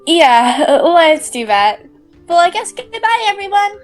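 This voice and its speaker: A woman's voice: very high-pitched, big pitch swings, a little slow, faint music behind, exaggerated voice. A woman playing an uneasy, hesitant guest in a comic character skit.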